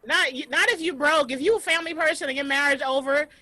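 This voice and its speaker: A woman hosting a comedic chat over a video call from home, telling stories loudly and animatedly. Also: high voice, fast talker, no room sound. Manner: animated, loud storytelling. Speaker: host.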